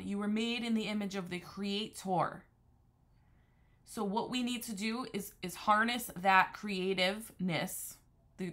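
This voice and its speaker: Earnest woman, low and bright. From New Haven, Connecticut area, USA. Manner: earnest, discursive, restarts often. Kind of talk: unscripted religious monologue.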